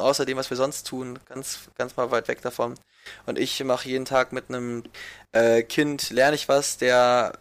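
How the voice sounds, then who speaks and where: A young man from the Munich area, a childcare worker, who speaks earnestly in a reflective conversation and often searches for his words. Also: mid-range voice.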